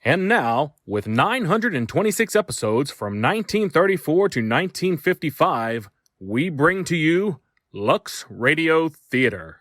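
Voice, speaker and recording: A man reads a promo with theatrical announcer flair. His voice is a little high and very animated, recorded close and dry. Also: unhurried, dry recording. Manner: dramatic announcer delivery. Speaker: podcast announcer. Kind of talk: scripted promo narration. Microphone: close studio mic, dry.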